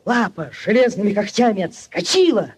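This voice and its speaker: Casting: ardent young village lad, theatrical storyteller for vintage radio play, high and very animated. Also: male voice, normal speed, a little hiss or hum. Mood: dramatic, urgent. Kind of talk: 1940s Soviet radio play acting. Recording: 1940s radio recording with music.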